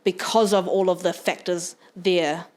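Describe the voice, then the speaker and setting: A woman's voice: on the low side, fairly even intonation, measured pace, darkish tone. A young adult woman with a New Zealand accent, a podcast guest talking frankly in casual chat, with the odd swear word.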